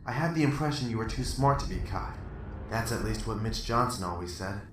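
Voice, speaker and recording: A man's voice: fairly low pitch, expressive, fairly quick, slight background noise, lightly acted. A man playing a blunt, stern detective. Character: stern male police detective. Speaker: interrogating detective. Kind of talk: amateur radio drama acting. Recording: roomy, distant mic, music underneath.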